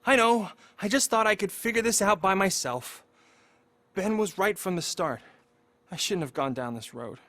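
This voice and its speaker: A man's voice: high voice, moderate pace, a bit bright, no echo. An actor playing a troubled teenage boy and petty thief in a video game, earnest and streetwise, with an anxious, regretful manner. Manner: pleading, earnest, streetwise slang. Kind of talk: video game cutscene acting.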